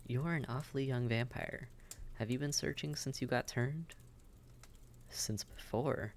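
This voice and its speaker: A friendly vampire innkeeper acted by a man talks straight to the listener like a seasoned guide in an audio roleplay. His voice is on the high side for a man in neither pitch nor tone, sitting at an ordinary middle pitch with plenty of gravelly creak. He is chatty and reassuring, with faint noise behind him. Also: unhurried, natural voice. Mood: encouraging. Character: friendly, worldly old-hand vampire innkeeper, reassuring male. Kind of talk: roleplay audio drama, speaking directly to listener.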